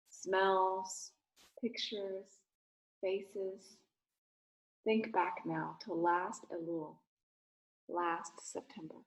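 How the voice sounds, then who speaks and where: A woman speaking as a rabbi leading a guided meditation, giving gentle, soothing instructions in short phrases with pauses. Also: medium pitch, low-quality recording.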